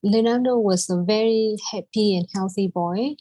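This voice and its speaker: A woman's voice: mid-range voice, normal speed, dark tone, dry recording, poor audio. A woman from Vietnam, a native Vietnamese speaker with a Vietnamese accent, telling her personal story as a podcast guest.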